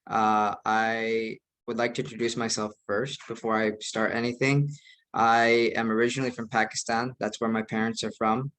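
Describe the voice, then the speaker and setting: A young man from the United States, speaking as an imam in an earnest, measured way. His pitch stays level and even throughout, with little rise or fall. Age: young adult. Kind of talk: online presentation.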